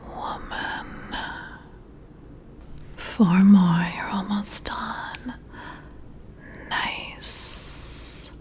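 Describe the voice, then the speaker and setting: A woman playing a flirty, intrigued boss in an ASMR roleplay, whispering slowly and soothingly right up close to the mic. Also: on the low side. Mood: soothing, deliberate. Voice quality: breathy whisper with creaky edges. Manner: slow, deliberate, soothing, intimate. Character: flirty, intrigued female boss giving a personality test. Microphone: close to the mic, whispering into the ear.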